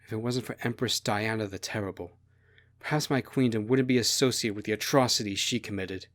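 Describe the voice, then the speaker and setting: A man voicing an obliging young princess who explains things quietly and reflectively in a story. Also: fairly low pitch, fairly quick, lightly acted. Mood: regretful.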